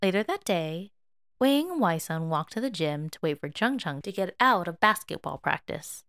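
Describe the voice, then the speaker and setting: Female narrator for audio fiction; lively melodic read, very dry recording. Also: on the low side, normal speed, no echo. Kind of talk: dramatic story narration.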